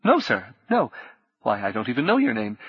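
A man's voice: medium pitch, wildly swooping pitch, normal speed, husky, no room sound, lo-fi sound. A man voicing a nervous, stout male innkeeper who stammers and rambles anxiously. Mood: flustered.

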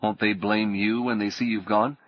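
A man's voice: on the low side, flat delivery, moderate pace, muffled recording, close to an everyday voice. A man voicing a bewildered young man on the run, speaking plainly and uncertainly.

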